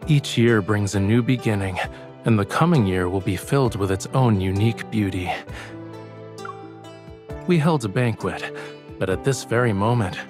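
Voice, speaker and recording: A man playing a gentle, romantic young suitor with a poetic, courtly manner in a scripted audio drama. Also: lowish voice, normal speed, pauses often, natural voice. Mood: tender. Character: tender, playful, romantic young man wooing his beloved. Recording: music behind the voice.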